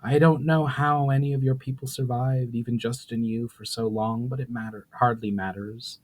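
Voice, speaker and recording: Man voicing a hushed, sorrowful ancient sorceress. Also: mid-range voice, fairly even intonation, lightly acted. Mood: grave, weary. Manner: slow, pained, solemn speech. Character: ancient grieving burning sorceress, solemn and weary.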